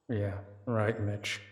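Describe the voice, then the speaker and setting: A man voicing a protective male werewolf detective in an audio drama, speaking in a gentle, reassuring, unhurried way. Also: fairly low pitch, no room sound. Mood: worried inner thoughts. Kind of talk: romantic audio drama roleplay.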